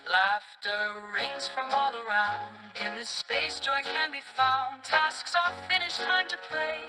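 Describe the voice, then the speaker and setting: High-voiced woman singing over music. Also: slow pace, low-quality recording. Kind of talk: singing a light, cheerful song. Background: loud musical backing.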